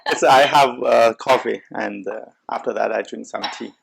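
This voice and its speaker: Male host, gravelly, halting delivery, casual meal chat. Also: medium pitch, background noise. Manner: halting, restarts often. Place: restaurant table.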